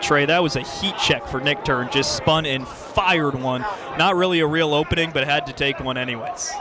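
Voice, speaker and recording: Noisy game background, higher male voice, color commentator, emphatic live analysis. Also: moderate pace, a crowd in the background. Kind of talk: live lacrosse radio analysis. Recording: clear background game noise.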